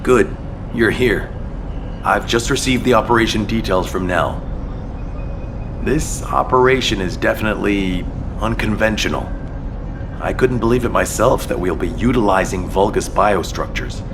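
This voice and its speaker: A man's voice: lowish voice, normal speed, background noise, natural voice. An actor playing an earnest male field operative in a video game, talking in a conversational military briefing manner. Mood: earnest, businesslike.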